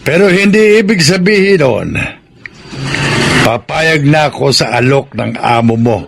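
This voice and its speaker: Creaky old Filipino farmer grandfather. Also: a little high, animated, unhurried, heavy background noise. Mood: firm, defiant. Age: older. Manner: firm, grave, admonishing. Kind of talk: Filipino radio drama acting.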